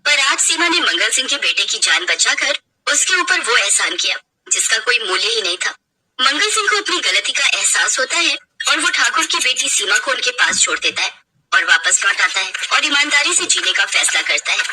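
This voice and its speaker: A woman with a high voice narrates a scripted story. There is clear noise in the background of the recording. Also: big pitch swings, normal speed, bright, forceful tone, rough recording.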